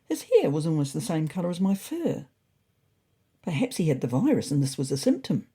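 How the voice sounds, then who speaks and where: A woman's voice: low-pitched, big pitch swings, fairly quick, warm tone, husky, full character voice. A woman voicing a self-important, naively confident male orange cat reading out his diary in a scripted story. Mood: worried, musing.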